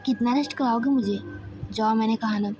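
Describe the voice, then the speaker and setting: A woman's voice: on the high side, fairly quick, noisy background, lightly acted. A woman voicing a sulky, restless young woman who complains about being kept at home.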